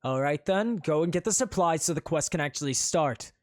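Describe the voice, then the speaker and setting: Someone voicing a haughty young prince. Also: male voice, fairly high pitch, animated, fairly quick, lightly acted. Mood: smug. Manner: huffy, sneering and indignant.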